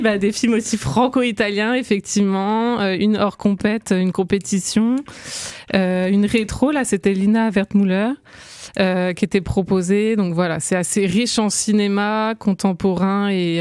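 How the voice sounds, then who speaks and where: A young woman talking casually as a guest critic in a radio studio, hesitant, with many fillers and quick laughs. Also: mid-range voice, fairly even intonation, darkish tone, clean tone, dry recording. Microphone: studio microphone.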